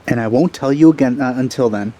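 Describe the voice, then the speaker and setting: A man's voice: mid-range voice, quick pace, warm tone, slight background noise. A man giving casual let's play commentary in a dry, laid-back way.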